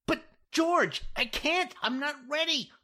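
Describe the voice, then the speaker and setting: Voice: medium pitch, big pitch swings, takes it slowly, fairly bright tone, voice pushed up, exaggerated voice. A narrator voicing a flustered man who is bluffing as a therapist in a comic story, stammering and desperate. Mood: panicked. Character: panicky, flustered male fake therapist.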